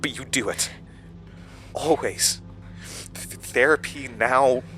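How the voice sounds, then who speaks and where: A man's voice: medium pitch, takes it slowly, a bit bright, faint music behind. A man acting in an audio drama as a troubled, anxious questioner who speaks in hesitant, broken, stammering phrases. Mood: strained, insistent.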